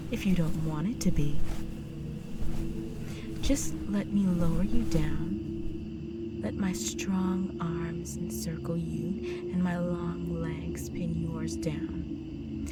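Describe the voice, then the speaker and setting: A woman voicing a seductive, possessive vampire countess in an intimate roleplay audio drama, slow and coaxing, often slipping into breathy whispers. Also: on the low side. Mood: coolly possessive, then tender, predatory. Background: music.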